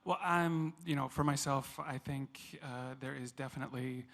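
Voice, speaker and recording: A mid-pitched male voice answering an interview at a calm, measured pace. He speaks reflectively, taking his time as he lays out his ideas. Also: gravelly. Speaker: singer with opera training.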